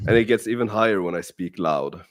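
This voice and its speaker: Blunt low-voiced man cursing casually. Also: fairly quick. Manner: blunt, profane, emphatic. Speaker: dating coach. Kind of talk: casual podcast chat.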